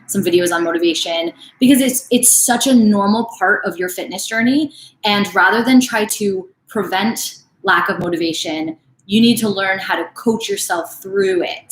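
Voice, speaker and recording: Woman, late twenties, fitness coach, live Q&A, casual, filler-heavy, lowish voice, roomy echo. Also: fairly bright tone. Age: late 20s. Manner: casual, chatty, frequent "like" and "um" fillers. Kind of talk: live Q&A answering viewer questions.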